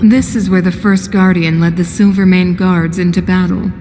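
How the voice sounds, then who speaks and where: An actress playing a solemn, bitterly ironic mother and ruler in a video game, speaking in a grave, measured, theatrical way. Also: on the low side, fairly even intonation, over music, lightly acted. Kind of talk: dramatic video game cutscene acting. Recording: long hall reverb, background noise.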